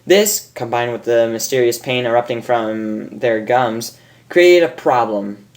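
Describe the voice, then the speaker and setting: A man's voice: mid-range voice, lively intonation, measured pace. A man narrating a story as an amateur host, informal, stumbling over lines and correcting himself. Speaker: fan fiction reader and host.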